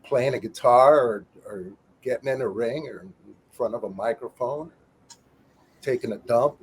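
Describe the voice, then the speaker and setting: A man's voice: mid-range voice, normal speed, slight background noise. A middle-aged man, a former wrestler, telling stories as an interview guest with wisecracks. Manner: wisecracking, storytelling, calls people chick. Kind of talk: casual interview chat.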